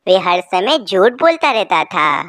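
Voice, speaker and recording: A woman's voice: lowish voice, sing-song, measured pace, fairly bright tone, no room sound, studio quality. A woman narrating a scripted children's story.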